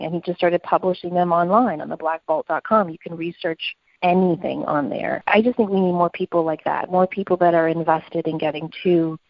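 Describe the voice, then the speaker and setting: A woman's voice: on the low side, no room sound, dull audio. A woman co-hosting a conversational podcast, speaking in an earnest, opinionated way. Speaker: podcast co-host.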